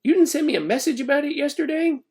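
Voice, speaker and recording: A man's voice: very high voice, lively intonation, fast, darkish tone, pitched above the performer's own voice. A man voicing an earnest, apologetic schoolboy who protests politely in a children's storybook reading. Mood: confused, protesting. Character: earnest, apologetic, flustered schoolboy narrator hero. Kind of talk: children's storybook read-aloud.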